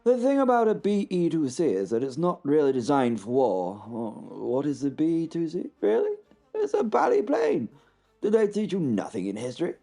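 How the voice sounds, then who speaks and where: A man's voice: on the high side, wildly swooping pitch, normal speed, warm tone, faint music behind, full character voice. A man voicing a plucky young English First World War pilot who narrates a horror audio drama in an upper-class English accent, rambling and stammering with self-deprecating asides. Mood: wry. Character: plucky young British WWI pilot, future wing commander.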